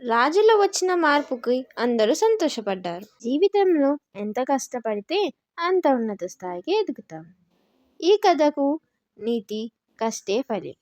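Female narrator for kids' moral tales, very high voice, animated intonation, unhurried pace. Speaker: narrator of children's moral stories. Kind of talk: scripted storybook narration.

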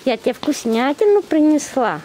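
High-voiced woman cooing to animals. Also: very animated, moderate pace, dark tone. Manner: cooing, repeats words and diminutives. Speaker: zoo visitor filming and feeding bears. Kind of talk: affectionate baby talk to animals. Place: outdoors at zoo bear enclosures. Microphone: handheld camera held by the speaker. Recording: noisy outdoor handheld camera recording.

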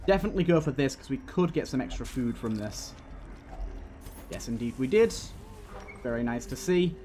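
A man's voice: mid-range voice, lively intonation, moderate pace, warm tone, slight background noise. A man hosting a casual let's play, talking to the game's characters and repeating his phrases as he goes. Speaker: gaming host.

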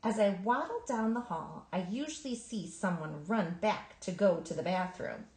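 A woman reading a children's picture book aloud in an expressive, emphatic storytelling manner. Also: mid-range voice, moderate pace, reverberant. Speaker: storybook reader.

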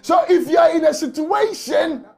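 A man preaching an emotional sermon from a small office on a lapel mic, often shouting and crying out. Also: very high voice, expressive, normal speed, gravelly, soft background music. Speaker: pastor.

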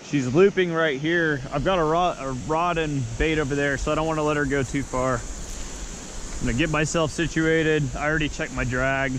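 A man's voice: fairly high pitch, fairly even intonation, normal speed, a bit bright, rough recording. A man in his thirties vlogging while fishing on a riverbank below a dam, talking matter-of-factly to a body-worn action camera. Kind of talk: casual outdoor vlog narration. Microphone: action camera, chest or head mounted. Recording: outdoor noise, wind and water. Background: wind and water.

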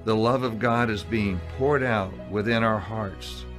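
Slow, earnest male gospel narrator. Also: on the low side, steady tone. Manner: slow, earnest, measured delivery. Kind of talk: scripted evangelistic narration. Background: background music.

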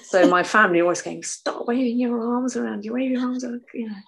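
A woman in her sixties with a soft voice, talking animatedly as a guest on a video call from a room at home. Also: on the high side, fast, creaky. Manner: animated, waves arms while talking. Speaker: guest author and artist. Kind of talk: casual video call interview.

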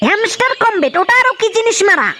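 A performer with a pitched-up voice filter plays a clueless, grumbling cartoon buddy. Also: very animated, rapid-fire, pushed and bright, a little hiss or hum, heavily acted. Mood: puzzled. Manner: complaining, crude. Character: cartoon elder buddy, clueless, grumbling, foul-mouthed creditor. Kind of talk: comic cartoon banter.

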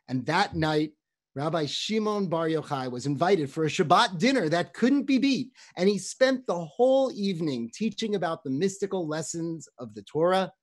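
A middle-aged rabbi telling a story dramatically on a video call from a room at home. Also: male voice, high voice. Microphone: webcam on video call.

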